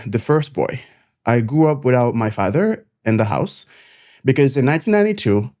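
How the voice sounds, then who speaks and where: A middle-aged man with a Haitian accent, telling his own life story to camera in an earnest, measured way, pausing between phrases. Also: medium pitch, a little mellow. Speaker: nurse turned content creator.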